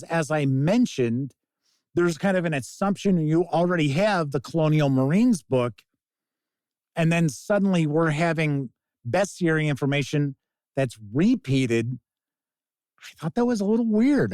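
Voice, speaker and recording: A man's voice: a little high, darkish tone, no echo. A man giving a casual spoken review in an emphatic, opinionated, conversational way, recorded on a close microphone. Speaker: game reviewer and host.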